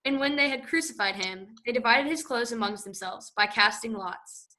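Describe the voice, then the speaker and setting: A woman narrating a scripture passage in church, reading in a steady, clear way. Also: a little high, moderate pace. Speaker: scripture reader.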